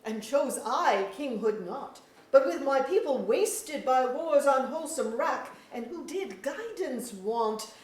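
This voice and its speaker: A woman acts a sorrowful, proud fairy king in a staged verse play. The delivery is slow and declamatory, in a fairly high voice. It sounds distant, in an echoey auditorium. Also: lively intonation, darkish tone. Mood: bitter. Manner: formal, declamatory, archaic phrasing. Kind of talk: staged reading of verse drama. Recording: echoey auditorium, recorded from far off.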